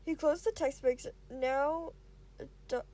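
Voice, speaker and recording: A woman's voice: high-pitched, slow pace, warm tone. A woman reading fan fiction aloud as an amateur narrator, stumbling over words now and then and correcting herself. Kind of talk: expressive storytelling narration.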